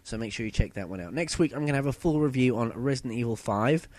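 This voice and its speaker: Young man, husky sore-throat voice, dry close sound, rambling casual podcast host. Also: medium pitch, expressive, fast, a little mellow, no room sound. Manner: chatty, loose and rambling, with fillers and self-corrections. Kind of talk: casual solo podcast chat.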